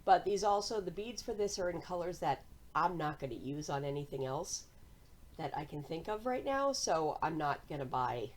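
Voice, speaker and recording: A woman with an American accent talks in a casual, chatty vlog style. Her voice sits a little lower than average for a woman. She comes across as cheerful and conversational as she addresses her viewers. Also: expressive, normal speed. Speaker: cross-stitch vlogger and host.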